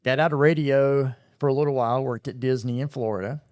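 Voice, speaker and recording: Middle-aged radio host in casual storytelling. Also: male voice, medium pitch, fast, no room sound, clean studio sound. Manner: relaxed storytelling with frequent fillers. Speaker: radio morning show host and operations manager. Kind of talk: casual interview chat.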